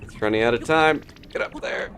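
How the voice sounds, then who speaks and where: A man's voice: mid-range voice, brisk, fairly bright tone, slight background noise. A man giving casual live gameplay commentary, chatting and thinking aloud in unfinished asides. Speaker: video game streamer.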